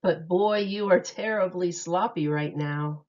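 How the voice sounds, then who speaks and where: A woman reading a rhyming picture book aloud in an expressive, sing-song way meant for young children. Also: fairly low pitch, a little slow, muffled recording.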